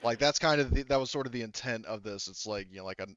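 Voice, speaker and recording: A man's voice: medium pitch, quick pace, a bit bright, smooth, no room sound. A man acting as game master, explaining and narrating in an informal, conversational way on slightly muffled audio. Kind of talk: casual table talk and narration.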